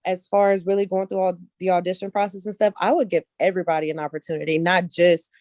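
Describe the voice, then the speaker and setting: Mellow, low-pitched woman chatting. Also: fairly even intonation, fast talker, no room sound, clean studio sound. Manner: conversational, emphatic repetition, often checks listener follows. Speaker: interview guest, casting director and actress. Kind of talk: casual interview conversation.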